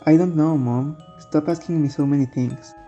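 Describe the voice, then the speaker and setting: A woman's voice: deep voice, steady tone, normal speed, dark tone, lightly acted. A woman playing a troubled, withdrawn schoolboy haunted by nightmares in an amateur radio drama. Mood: evasive, downcast. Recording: dull muffled microphone with music underneath.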